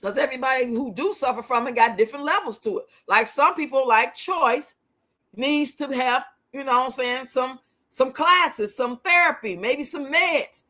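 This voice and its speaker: Bright-voiced, high-pitched outspoken older woman. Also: normal speed. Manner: blunt, outspoken, colloquial. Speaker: livestream host. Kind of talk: casual livestream chat with viewers.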